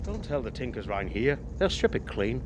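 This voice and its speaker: An actor playing a chatty, wily older ex-cabbie in a radio drama, with a Northern Irish accent and a wry, insistent manner. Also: male voice, mid-range voice, very animated, normal speed, noisy background, lightly acted. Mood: reminiscing. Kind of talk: naturalistic radio drama acting.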